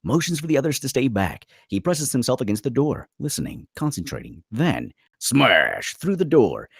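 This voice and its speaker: A man's voice: mid-range voice, big pitch swings, moderate pace, slightly rough, no echo, clean studio sound. A man narrating screenplay stage directions dramatically at a table read.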